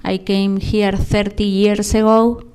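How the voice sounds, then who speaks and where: Slow-speaking Argentine woman in her sixties. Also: medium pitch, little pitch movement, darkish tone, clean tone. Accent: Argentine Spanish. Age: 60s. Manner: halting, emotional, many pauses. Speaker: panel speaker. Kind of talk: personal testimony.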